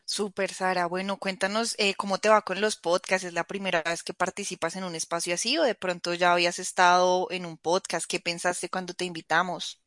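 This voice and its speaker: A woman's voice: fairly low pitch, level tone, a little fast, hardly any pauses, a bit bright, no room sound, clean studio sound. A woman hosting a casual podcast interview, upbeat and welcoming as she introduces guests. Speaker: host.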